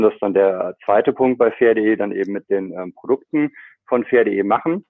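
A man's voice: on the low side, no echo. A man from Cologne, a startup founder chatting as a podcast guest, full of fillers and restarts. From Germany, Cologne. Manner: chatty, many fillers and restarts, laughs often. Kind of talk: podcast interview. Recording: dry, phone-like line.

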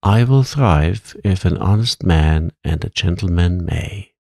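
A man's voice: low voice, lively intonation, takes it slowly, gravelly, dark tone, no echo, studio quality, full character voice. A man voicing a living scarecrow who speaks boldly in a stout voice. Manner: bold and courageous. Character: swaggering scarecrow come to life as a gentleman.

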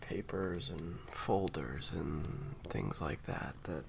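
A man playing a grieving, guilt-ridden secret agent in an audio roleplay, choked up and halting, sometimes dropping toward a whisper. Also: very deep voice, animated, gravelly, noisy background, heavily acted. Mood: subdued, sorrowful. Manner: halting, faltering, breaking into whispers. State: upset, choked up with grief. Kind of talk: intimate audio roleplay acting.

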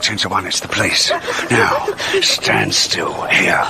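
Young male hostage-taker, radio drama: desperate, slow, menacing, clear background noise. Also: sits low, animated, heavily acted. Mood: tense menace.

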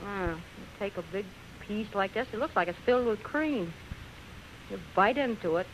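A woman's voice: medium pitch, lively intonation, moderate pace, close to an everyday voice. A woman playing a spoiled, bored, complaining rich wife in a 1930s radio drama. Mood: bored, sulky. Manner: whiny, complaining. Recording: muffled old radio recording with noise.

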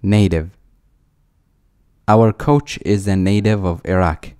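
Very slow, deep man reading vocabulary. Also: fairly even intonation, warm tone, clean tone, dry recording, studio quality. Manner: says each word twice, then reads an example sentence. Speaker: vocabulary reader. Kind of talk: slow word-and-sentence reading for learners.

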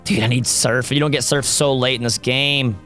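A young man with a worn, raspy voice commenting on his own gameplay, close to a studio mic at a home setup and cheering on his team loudly. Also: mid-range voice, fairly even intonation, normal speed. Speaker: gaming YouTuber. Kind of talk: Let's Play commentary. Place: home gaming setup, indoors. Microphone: studio mic close up, seen. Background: faint game music.

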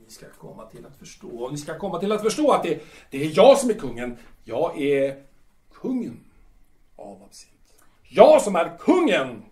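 A short, bitter young playwright, played by a man for an audio drama. His voice sits on the high side, and he boasts in halting, repetitive bursts. The recording has a roomy echo. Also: big pitch swings, darkish tone. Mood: drunken, grandiose. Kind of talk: Swedish audio drama acting.

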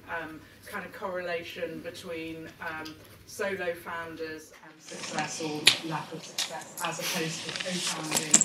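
A woman in the audience asking a question, hesitant with many pauses. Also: lowish voice, background noise. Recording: distant in an echoey hall with crowd.

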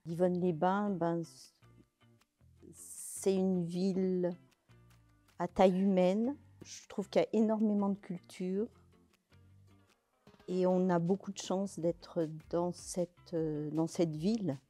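A woman in her sixties answering interview questions, slowly and hesitantly, with many fillers and restarts, seated in a large bare indoor hall. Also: on the low side, flat delivery, dark tone, soft background music. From France, Pays de Gex. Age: 60s. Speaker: interviewee, literary event organizer.

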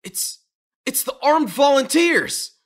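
A performer playing an excitable, hot-headed young fighter in a manga audio drama, shouting frantically. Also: high voice, slow pace, pitched above the performer's own voice, heavily acted. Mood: frantic.

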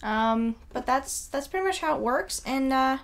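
A young woman co-hosting a casual product review at a home desk, her voice a little raspy from just waking up, chatty with many fillers. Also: animated, normal speed. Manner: chatty, mock infomercial pitch, many fillers. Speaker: co-host.

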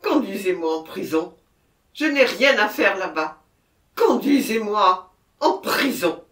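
A man's voice: high voice, expressive, slow, a bit bright, echoey room, voice pushed up, full character voice. A man voicing a terrified, anguished male murderer in an audiobook, pleading and repeating himself in exclamations. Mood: frantic refusal.

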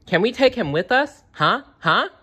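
A narrator voicing an eager, friendly little female dinosaur in a storybook, excitable and repeating words. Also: mid-range voice, moderate pace, slightly rough, pitched above the performer's own voice, heavily acted. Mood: delighted.

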